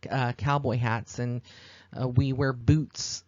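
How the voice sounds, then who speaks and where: A man's voice: medium pitch, little pitch movement, slow, darkish tone, no room sound, dull audio. An American man from Texas hosting a podcast, speaking in an informal, earnest monologue, explaining at length in a rambling way. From Texas, United States. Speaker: psychic medium podcast host.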